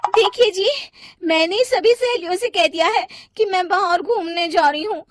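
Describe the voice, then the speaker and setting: Lady doing a really high voiced pushy young wife in a cartoon story, pleading. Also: normal speed, no echo, soft background music, close to an everyday voice. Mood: insistent. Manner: insistent, pleading. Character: spendthrift, insistent young wife. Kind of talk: cartoon moral story acting.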